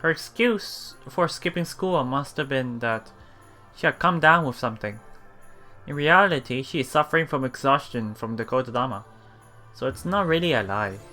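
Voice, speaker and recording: Bright-voiced man reading a game aloud. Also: medium pitch, expressive, normal speed. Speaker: let's play streamer. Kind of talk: reading visual novel aloud with casual reactions. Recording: game music behind the voice.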